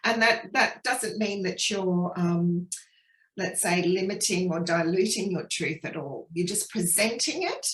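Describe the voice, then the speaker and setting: A woman from Sri Lanka, a spiritual healer, talking gently and earnestly in a casual interview over a video call. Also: on the low side, animated, measured pace, reverberant, rough recording. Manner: gentle, earnest, flowing, with soft restarts.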